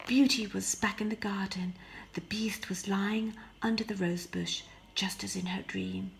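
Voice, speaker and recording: A woman narrating a scripted fairy tale as an expressive storyteller, often hushing her phrases. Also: mid-range voice, unhurried, vocal fry. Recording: faint background noise.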